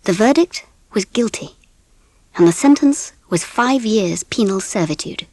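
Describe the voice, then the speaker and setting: An actress playing a genteel English mother who narrates a children's story in a measured, expressive way with an English accent. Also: medium pitch, no room sound. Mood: wistful, grave. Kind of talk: scripted audiobook narration with music.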